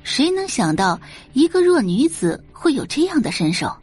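A female narrator reads dramatic fiction in a mellow, dark-toned voice. Her intonation is lively and varied, with soft music running underneath. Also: high voice, normal speed, slightly rough. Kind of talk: dramatic scripted audiobook narration.

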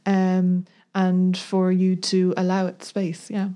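Mellow, even-toned woman in reflective conversation. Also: on the low side, slow pace. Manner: thoughtful, earnest, searching for words. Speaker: podcast co-host.

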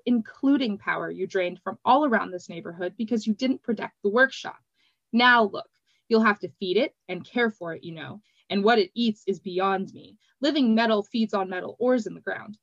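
A woman's voice: medium pitch, moderate pace, creaky, no echo, dull audio. A woman reader voicing a blunt, irritable fourteen-year-old girl mage who scolds a grown man. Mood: scolding. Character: irritable, sharp-tongued teenage girl mage.

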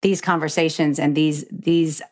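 Female podcast host, middle-aged, low register, candid and emphatic in conversation. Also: little pitch movement, measured pace.

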